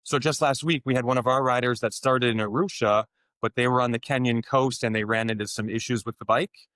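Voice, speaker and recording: A man's voice: mid-range voice, steady tone, a little fast, a bit bright, dry recording, studio quality. A man co-founding a motorcycle tour business, answering interview questions in an easygoing way, thinking aloud with frequent restarts.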